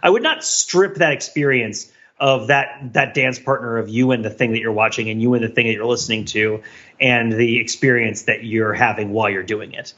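A man's voice: medium pitch, fairly even intonation, normal speed, a bit bright, dull audio. A man talking as a podcast panelist in an emphatic, rambling, philosophical way, giving casual reflective commentary.

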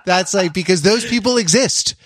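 A man's voice: high voice, moderate pace. A man hosting a casual podcast discussion in a reflective, wry way, restating phrases as he feels his way through a thought. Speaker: podcast host.

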